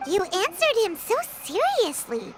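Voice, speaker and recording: A woman voicing a tiny, childlike fairy companion in a video game, squeaky, cheeky and blunt. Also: wildly swooping pitch, a little slow, heavy background noise, exaggerated voice. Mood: teasing, skeptical. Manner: squeaky, refers to self in third person. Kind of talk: cartoonish video game voice acting.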